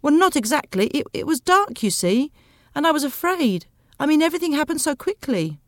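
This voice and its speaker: Woman acting a timid, flustered husband, high-pitched. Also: big pitch swings, brisk, dry recording, clean studio sound. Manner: hesitant, defensive, stammering.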